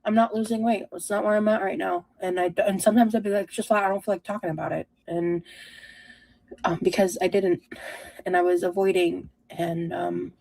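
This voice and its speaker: A woman speaking in a confessional vlog style, earnest and halting as she addresses her viewers. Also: mid-range voice, level tone, creaky, dark tone, no echo, poor audio. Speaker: vlogger.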